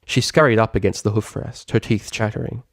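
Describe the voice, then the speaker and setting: Deep-voiced man narrating a story, dry sound. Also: fairly even intonation, fairly quick, no room sound. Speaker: audiobook reader of fan fiction. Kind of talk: expressive scripted story narration.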